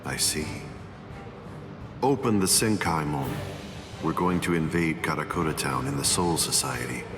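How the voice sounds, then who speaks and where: An actor playing a calm, coldly arrogant arch-villain in an anime game dub, composed, pompous and condescending. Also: male voice, rumbling low, a little slow, over music. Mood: cold command.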